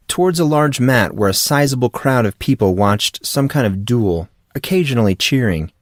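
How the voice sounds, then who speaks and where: A man narrates a scripted story for an audiobook. His voice sits fairly low, and the recording is dry and close. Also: no echo.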